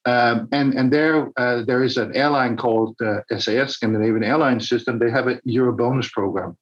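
A man's voice: medium pitch, steady tone, fairly quick, lo-fi sound. A man from Denmark, answering as a podcast guest, hesitant and often repeating words while he thinks. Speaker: podcast guest, business director. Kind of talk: interview answers.

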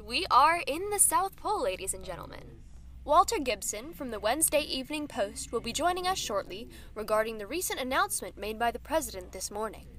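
A woman's voice: high-pitched, a bit bright. An actress playing a poised female radio news anchor reading the news. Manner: crisp formal newsreading. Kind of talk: radio news broadcast acting.

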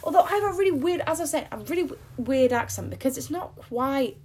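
A woman's voice: high voice, lively intonation, quick pace, a little mellow. A young woman from Derbyshire with a local accent, co-hosting a casual chat podcast and often trailing off mid-sentence. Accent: Derbyshire, near Sheffield. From England, Derbyshire. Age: young adult. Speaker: podcast co-host.

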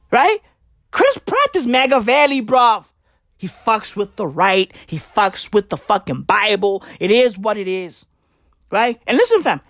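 Woman from southern Africa, Tswana native speaker, comic rant, profane slang, lively swooping pitch, dry close sound. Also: no echo. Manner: profane, rambling, animated slang-heavy delivery. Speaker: comedian. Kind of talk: stand-up style comedic rant.

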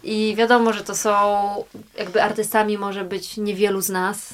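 A woman's voice: mid-range voice, flat delivery, moderate pace. A woman hosting an interview, speaking effusively and emphatically as she praises her guests.

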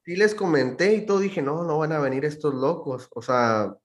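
A man's voice: fairly high pitch, moderate pace. A man with a northern Mexican accent, a podcast guest telling stories in a slangy, laid-back casual chat.

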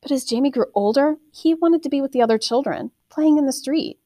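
A woman's voice: high voice, fairly quick, warm tone, no room sound. Woman reading a story aloud, dry close sound. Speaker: audiobook reader, teacher reading aloud. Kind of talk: expressive scripted storybook narration in first person.

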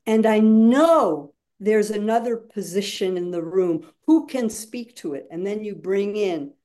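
Mellow-voiced woman explaining with emphasis. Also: mid-range voice. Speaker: guest facilitator and author. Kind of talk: explanatory webinar talk with acted-out examples.

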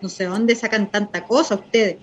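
A woman playing a defensive, irritable young Chilean woman with a sharp tongue in a naturalistic radio drama. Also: mid-range voice, fairly even intonation, normal speed, breathy edge, highs cut off, natural voice. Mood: annoyed. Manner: curt, irritable.

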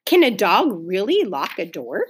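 A woman's voice: mid-range voice, huge pitch swings, a little slow, breathy edge, heavily acted. A woman voicing a puzzled, indignant boy in a children's story, questioning and emphatic. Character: puzzled, indignant young boy, a dog owner.

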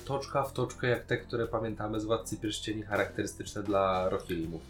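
A man's voice: fairly low pitch, a little fast, soft background music. A man, a podcast co-host casually discussing a TV series in a colloquial, opinionated way.

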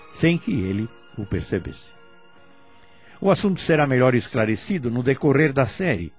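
A Brazilian man giving scripted, didactic radio commentary in a formal, deliberate, solemn manner. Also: medium pitch. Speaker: radio commentator on spiritist teaching. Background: faint music.

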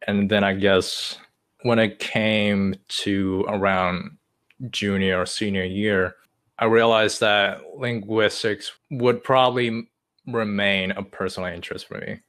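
A man's voice: lowish voice, steady tone, takes it slowly, a bit bright. A man in his twenties from China, whose first language is Chinese, telling his story in casual chat with frequent hesitations. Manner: hesitant, many uh fillers, long-winded. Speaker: podcast guest.

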